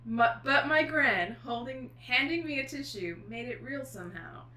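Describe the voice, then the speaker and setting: A woman author speaking as a guest, with an expressive, informal delivery for reading aloud and chatting. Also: high-pitched, normal speed. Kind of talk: expressive book reading and casual chat. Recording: echoey room with faint noise.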